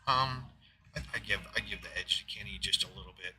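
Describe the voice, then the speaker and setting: A man's voice: on the low side, expressive, brisk. A man commentating a live pool match from a booth in a pool hall, talking in a loose, rambling way with many fillers. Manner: rambling, filler-heavy, talks of his loud voice.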